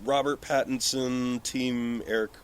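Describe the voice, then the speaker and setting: A man's voice: mid-range voice, fairly even intonation, unhurried, slight background noise. A man chatting casually as a podcast guest on a porch, joking and self-deprecating in an informal way. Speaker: podcast guest, independent filmmaker. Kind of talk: casual conversational podcast chat. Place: outdoors on a porch.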